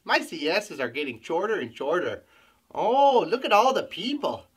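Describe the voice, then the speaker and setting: A man's voice: high voice, big pitch swings, normal speed, slightly rough, full character voice. A man voicing a cheerful, theatrical parrot show host with a Spanish accent and a showy, exclamatory manner. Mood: showy, excited. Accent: Spanish-accented English.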